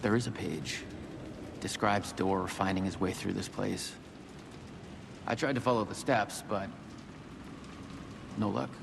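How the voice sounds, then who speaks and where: An actor playing a weary, puzzled sheriff in a video game drama, speaking hesitantly and musingly. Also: male voice, on the low side, measured pace, vocal fry, long pauses, noisy background. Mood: musing, determined. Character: weary, puzzled small-town sheriff lost in a nightmare.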